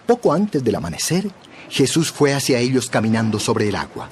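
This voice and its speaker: A man narrating a dramatized Bible story in a measured, expressive storytelling style. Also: medium pitch, a little hiss or hum.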